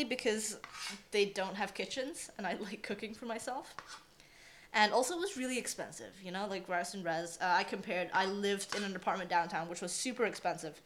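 A young woman from Singapore, mid-pitched, speaks in a relaxed interview. She is chatty, with a steady stream of "like" and "um", and a faint hiss sits under her voice. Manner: chatty, lots of "like" and "um". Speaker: student journalist. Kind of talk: casual interview talk.

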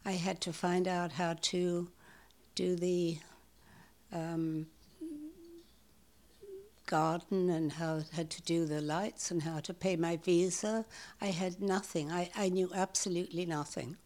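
An older woman with a somewhat low voice speaks in a relaxed interview. She halts often, circles back and repeats words, and leans on fillers as she gathers her thoughts. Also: takes it slowly, pauses often. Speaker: home share host. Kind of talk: casual interview talk.

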